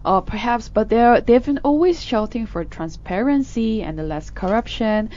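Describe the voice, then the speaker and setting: A woman with a Korean accent co-hosting a casual news discussion, speaking haltingly with many fillers. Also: on the high side, expressive, dark tone, highs cut off. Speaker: co-host of a news discussion show.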